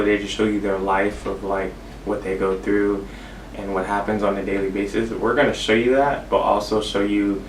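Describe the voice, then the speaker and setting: A man's voice: on the low side, reverberant, distant mic, a little hiss or hum, poor audio. A young man from California, co-hosting a casual vlog, answering questions in an easygoing, rambling way. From Inglewood, California. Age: young adult. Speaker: vlogger co-host. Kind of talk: casual Q and A chat.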